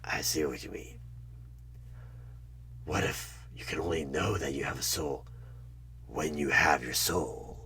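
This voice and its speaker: A man playing a sinister devilish tempter in a horror ASMR roleplay, slow and coaxing with a breathy, husky half-whisper and menace. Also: extremely low pitch, a little hiss or hum, heavily acted, rough recording. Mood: sly, coaxing. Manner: slow, menacing, coaxing, with sudden loud bursts.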